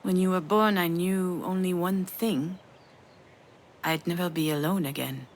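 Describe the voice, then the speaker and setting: A woman playing a sardonic, self-blaming yet tender mother in a dramatic video game, frank and emotionally expressive. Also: fairly low pitch, a little slow, slight background noise. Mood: tender, wistful. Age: adult.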